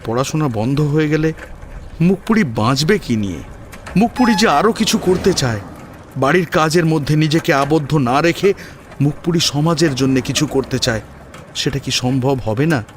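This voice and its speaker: A man's voice: on the high side, animated, moderate pace, a little hiss or hum. A man narrating an audio story in a dramatic, scripted storytelling style. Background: faint background music.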